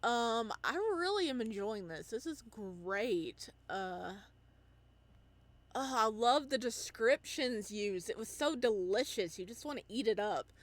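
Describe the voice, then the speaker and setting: A woman giving excited, casual commentary as she hosts a video game playthrough, gushing and full of exclamations. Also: on the high side, takes it slowly.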